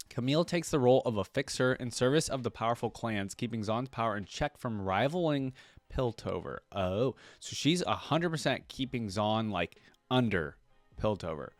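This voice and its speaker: A man hosting a fan reaction video, reading aloud and thinking out loud in a casual, conversational way with asides. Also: mid-range voice, no echo.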